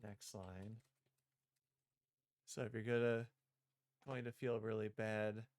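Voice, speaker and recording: A man's voice: on the low side, fairly even intonation, slow, a little mellow, no room sound, studio quality. A man reading visual novel dialogue aloud and performing the parts, with casual asides as a streamer.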